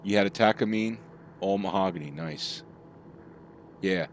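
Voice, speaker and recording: A man's voice: low voice, a little slow, a little hiss or hum. A middle-aged man chatting casually with his live chat while he plays, rambling from topic to topic. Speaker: streamer.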